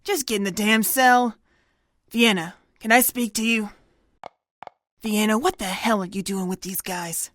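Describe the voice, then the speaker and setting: A woman's voice: mid-range voice, steady tone, a little slow, long pauses, a bit bright, no echo, lightly acted. A woman playing a tough, exasperated pony security guard in an audio drama, with a blunt, no-nonsense way of talking.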